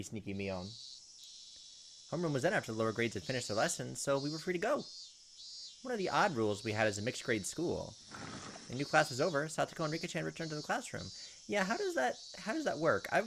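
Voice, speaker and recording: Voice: medium pitch, noisy background. A let's player reading a visual novel aloud and dropping in casual asides as commentary. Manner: reacts with asides to the story. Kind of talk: casual gameplay commentary mixed with narration read aloud.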